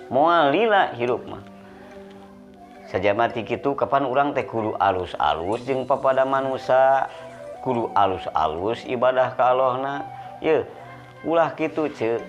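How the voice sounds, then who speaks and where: A poor, humble debtor man acted by a male storyteller speaks slowly with a Sundanese accent. He is patient, pleading and preachy, over clearly audible music in a folk tale. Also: mid-range voice, lo-fi sound.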